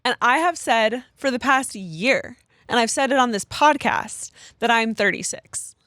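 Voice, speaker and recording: A woman's voice: fairly high pitch, lively intonation, unhurried, fairly bright tone, dry recording, clean studio sound. A woman in her thirties co-hosting a podcast, chatting casually and telling stories with lots of fillers. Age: 30s. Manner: chatty storyteller, many fillers. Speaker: podcast co-host. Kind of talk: casual chat.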